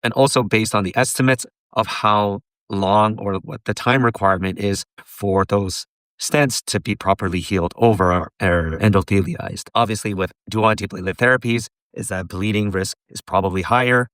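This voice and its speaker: Hoarse, low-voiced man teaching. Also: fairly even intonation, moderate pace, fairly bright tone, no echo. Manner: teacherly, poses questions to the viewer. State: hoarse from laryngitis. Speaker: pharmacist and educator. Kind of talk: tutorial lecture.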